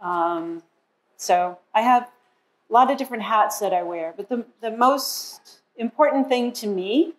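Loud-voiced woman presenting a seminar. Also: on the high side, measured pace. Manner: friendly, loud, self-introducing. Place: university lecture hall. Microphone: podium microphone.

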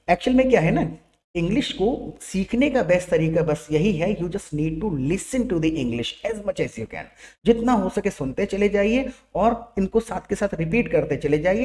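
A man's voice: high-pitched, a little mellow. A middle-aged man with an Indian accent, teaching English on a headset mic, explaining patiently and often checking in with listeners. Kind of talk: explanatory lesson.

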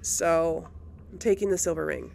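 A woman's voice: deep voice, fairly even intonation, moderate pace, creaky, a little mellow, slight background noise. A woman giving casual gameplay commentary, thinking aloud and often questioning herself as she goes. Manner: musing, self-questioning. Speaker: let's play host.

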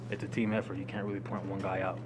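A man in his twenties, a college athlete, answering postgame questions in a halting, understated way with repeated words. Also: sits low. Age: 20s. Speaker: college basketball player. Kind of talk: postgame press conference answers. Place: indoor press conference room. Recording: noisy room with steady hum.

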